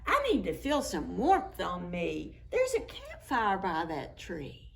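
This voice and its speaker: A woman's voice: on the low side, huge pitch swings, takes it slowly, gravelly, a bit bright, full character voice. A woman voicing a good-natured, shivering, sneezy snowman from a picture book, exclaiming and drawing out words. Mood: freezing, eager.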